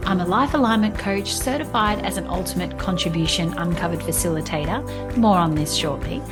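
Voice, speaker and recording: A woman's voice: lowish voice, fairly quick, over loud music. Upbeat Australian woman podcast host. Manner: upbeat and enthusiastic, with some filler words in conversation. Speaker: podcast host and life coach. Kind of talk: scripted podcast intro, then conversational interview.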